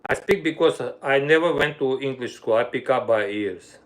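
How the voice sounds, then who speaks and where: A middle-aged man with an Armenian accent, a violinist chatting casually with viewers on a live stream, pausing to search for words in his third language. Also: mid-range voice, rough recording. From Armenia, grew up in the Soviet Union. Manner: searches for words, fillers, broken grammar. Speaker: livestream host, violinist.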